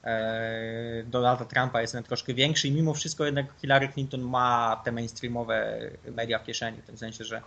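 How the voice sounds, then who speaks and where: A man's voice: medium pitch, steady tone, normal speed, gravelly, a bit bright, lo-fi sound. A man chatting as a guest on a podcast, giving long, rambling, opinionated explanations. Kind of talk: casual chat.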